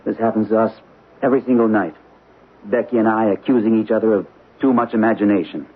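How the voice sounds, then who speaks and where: A bewildered young husband. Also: male voice, fairly low pitch, steady tone, fast talker, husky. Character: baffled young suburban husband and father. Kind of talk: 1970s radio drama acting. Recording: thin phone-like old radio broadcast with faint hiss.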